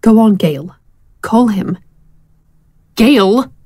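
A woman playing a sly female friend who dabbles in spells, coaxing and drawn-out, in an audio drama. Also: medium pitch, expressive, dark tone, no echo. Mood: flirtatious, hushed.

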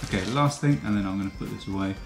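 A young man with a lowish voice explains biology patiently and clearly, as a teacher would. He is recorded in a home kitchen with a roomy echo. Soft music plays faintly underneath. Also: racing. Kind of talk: educational explainer.

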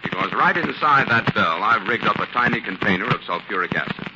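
An actor playing a confident, steady scientist sleuth with an assured manner in a 1940s radio drama. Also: male voice, lowish voice, a little fast. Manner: assured, explanatory. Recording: noisy muffled 1940s radio recording.

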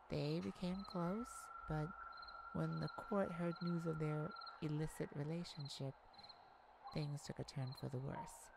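A woman's voice: sits low, vocal fry, background noise. A woman playing a weary, proud and guarded young half-blood princess in a scripted roleplay audio drama, speaking in formal, wistful phrases. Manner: formal, measured, wistful storybook speech.

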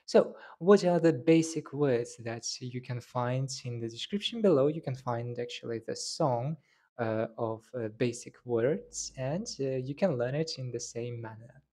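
Slow-talking young man, native Tatar speaker. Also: medium pitch, lively intonation, warm tone. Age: young adult. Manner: unhurried, thinking aloud with fillers and restarts. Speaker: language teacher. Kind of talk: casual video talk. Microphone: handheld lapel mic held near mouth.

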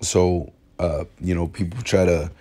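A man who is hoarse from a cold, with a raspy voice, teaching an informal bible lesson in a halting way, repeating words and using many fillers. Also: deep voice, steady tone, warm tone. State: hoarse from illness. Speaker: religious teacher. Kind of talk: informal bible lesson over screen recording. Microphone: phone screen recording.

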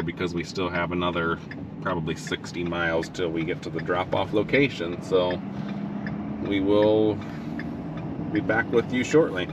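A man in his thirties narrating a casual work vlog about hauling campers, relaxed and unhurried with lots of fillers. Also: low voice. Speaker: towing business owner. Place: truck cab and outdoor work yard. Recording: noisy with vehicle and engine hum.

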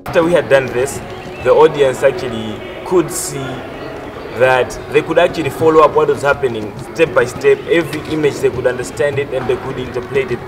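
A man's voice: mid-range voice, fairly quick. A man in his twenties, a theatre performer, recounting his experience in a documentary interview. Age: 20s. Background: music.

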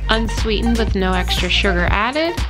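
A woman's voice: medium pitch, lively intonation, moderate pace. A woman, hosting a casual recipe vlog in a chatty, informal way. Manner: chatty, informal, addressing viewers as guys. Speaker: host of a healthy cooking channel. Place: home kitchen, indoors. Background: clear background music.

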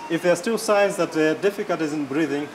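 A man's voice: a little high, quick pace. A middle-aged man, a clinician, explaining instructions to camera in a hospital room. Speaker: clinician presenter. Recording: faint room noise.

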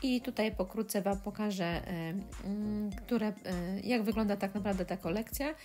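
A woman talking through a step-by-step scrapbooking tutorial, pausing often with filler sounds. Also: medium pitch, dark tone, soft background music. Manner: hesitant, many filler sounds. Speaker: crafting tutorial host. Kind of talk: step-by-step craft tutorial voice-over.

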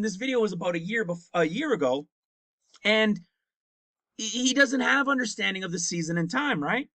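A man in his fifties gives an emphatic Bible teaching over a screen share, often checking in with his listeners. His voice sits high and bright. The sound is dry and close. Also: dry recording. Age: 50s. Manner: emphatic, repeats points and asks "right?".

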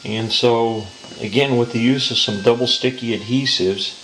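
An American man giving a casual hands-on hobby demonstration in an unhurried, halting, explanatory way, recorded indoors on a home camera. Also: medium pitch, fairly even intonation, fairly bright tone. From Southern California, US. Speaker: model train hobbyist presenter. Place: indoors in a home dining room. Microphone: handheld camera's built-in mic. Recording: noisy home camcorder audio.

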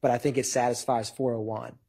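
A man arguing to a judge as a prosecutor in a courtroom, formal but halting, with a husky voice. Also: medium pitch, flat delivery, mellow. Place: large wood-paneled courtroom.

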